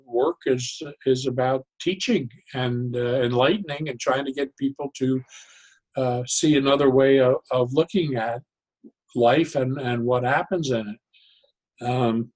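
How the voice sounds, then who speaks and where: An older man talking reflectively as an interview guest, deliberate and halting, often stopping and restarting his phrases. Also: medium pitch, a little mellow, low-quality recording. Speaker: interview guest, psychologist and humor educator.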